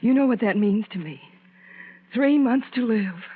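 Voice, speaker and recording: Bitter spurned wife, tinny radio. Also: female voice, on the high side, lively intonation, normal speed, breathy edge. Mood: grave resolve. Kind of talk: 1940s radio acting. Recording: tinny, narrow vintage radio recording.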